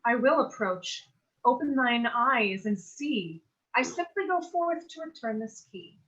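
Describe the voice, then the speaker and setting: A woman's voice: a little high, lively intonation, measured pace, fairly bright tone. A woman playing a sensible, dutiful teenage girl in a theatrical, articulate staged reading of Shakespearean English, on slightly dull audio. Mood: patient, teasing.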